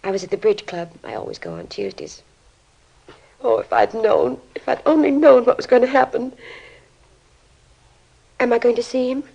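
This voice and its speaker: An actress playing a distraught, disbelieving middle-aged American mother in an old radio crime drama, with a breathy, fragile voice. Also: fairly high pitch, very animated, moderate pace, pauses often, darkish tone, dull audio, heavily acted. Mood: dazed, sorrowful. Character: distraught, grieving mother of a teenage boy, disbelieving.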